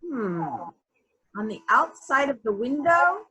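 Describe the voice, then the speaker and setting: A methodical female detective played by a woman runs a murder inquiry. Her voice is fairly high and very lively in pitch, as she muses deliberately and asks pointed questions. It sounds like a dramatic mystery reading. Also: muffled recording, heavily acted. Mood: musing. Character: female police detective in charge, probing and methodical. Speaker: actor in a library's dramatic reading.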